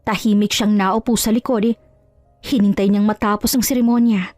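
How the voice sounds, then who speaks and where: A woman's voice: mid-range voice, steady tone, moderate pace, darkish tone, dry recording, faint music behind. A woman narrating a scripted story in a dramatic style.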